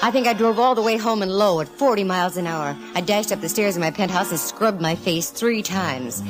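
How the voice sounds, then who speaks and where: A woman's voice: medium pitch, a little fast, music in the background, lightly acted. A woman playing a gutsy, wisecracking private detective in 1940s radio drama, with a breezy, sardonic, hard-boiled manner. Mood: wry, storytelling. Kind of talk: 1940s radio acting with first-person narration.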